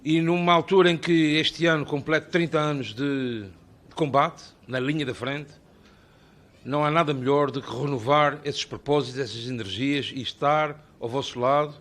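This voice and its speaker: A man's voice: a little high, steady tone, moderate pace, a bit bright. A middle-aged man with an Azorean Portuguese accent, giving a formal, ornate speech at a parliamentary hearing and building long rhetorical lists. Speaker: invited speaker at a parliamentary hearing. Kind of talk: formal public address.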